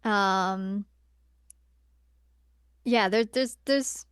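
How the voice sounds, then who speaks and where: A woman's voice: medium pitch, very slow, clear, resonant tone, no room sound, clean studio sound. A woman co-hosting a podcast, chatting casually and informally about movies, often hesitating as she thinks aloud. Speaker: podcast co-host.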